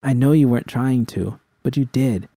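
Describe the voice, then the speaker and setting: Man as a blunt, exasperated woman, mellow-toned. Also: mid-range voice, moderate pace, no room sound, studio quality, lightly acted. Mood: resigned, reproachful. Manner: blunt, profane, confrontational. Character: tough, blunt, exasperated woman confronting her partner. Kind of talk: dramatic audiobook character acting.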